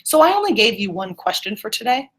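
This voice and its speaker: Higher-voiced woman teaching an online class. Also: sing-song, fairly quick, a bit bright. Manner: encouraging, checking understanding with questions. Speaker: middle school English teacher.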